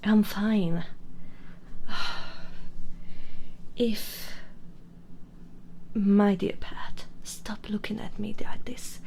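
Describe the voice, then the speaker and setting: Woman playing a proud, half-whispering, slow-spoken vampire baroness. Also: mid-range voice, fairly even intonation, dark tone, poor audio. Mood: weary, proud. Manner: breathy half-whispers, imperious and teasing. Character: proud, possessive, battle-worn vampire baroness. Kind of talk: intimate ASMR audio roleplay acting.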